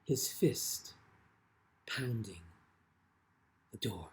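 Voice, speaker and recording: Slow, deliberate man reading poetry. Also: fairly low pitch, expressive. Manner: deliberate, measured phrasing with frequent pauses. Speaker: poet reading own work. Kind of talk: literary poetry reading with brief spoken introductions.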